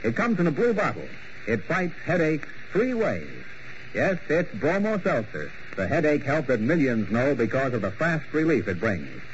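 Male radio host, vintage broadcast, sly macabre wit, animated pitch, dull lo-fi recording. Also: normal speed. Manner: sly, mocking, punning with grim jokes. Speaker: program host and announcer. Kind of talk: 1940s radio hosting. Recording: muffled old radio broadcast with faint hiss.

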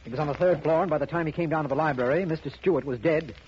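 A man's voice: fairly high pitch, rapid-fire, darkish tone, natural voice. A man playing an exasperated, insistent district attorney in a 1950s radio drama, urgent and emphatic. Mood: earnest, urgent. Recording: muffled, noisy old radio recording.

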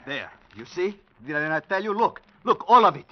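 An actor playing a formal, excitable shop clerk in a 1940s radio drama. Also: male voice, high-pitched, normal speed, slight background noise. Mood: agitated, accusing. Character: fussy, excitable Mexican men's shop clerk. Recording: muffled old radio recording.

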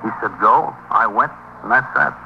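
An actor playing a wisecracking, evasive car thief in a 1950s radio police drama, streetwise and slangy. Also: male voice, lowish voice, normal speed, lightly acted. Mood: glib, explaining. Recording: muffled, noisy 1950s radio recording.

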